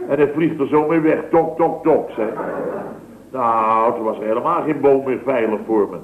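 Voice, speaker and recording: A man's voice: mid-range voice, normal speed. Man telling tall tales on tinny old radio. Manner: rambling mock-pompous anecdote, dry delivery. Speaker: comic storyteller. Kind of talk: scripted radio comedy sketch. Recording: tinny hissy old radio broadcast, roomy.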